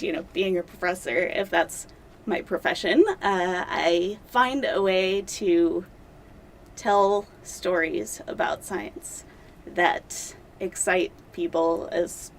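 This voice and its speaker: A young woman, a doctoral candidate, talking casually in an interview, chatty and self-deprecating with many fillers, seated in a dark studio. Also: mid-range voice, creaky, slight background noise. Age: young adult.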